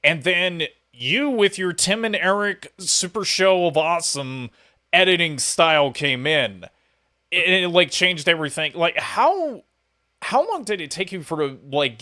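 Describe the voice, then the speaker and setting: Casting: male podcast host, bright forward tone, slightly high pitch, informal interviewing. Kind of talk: casual conversational interview.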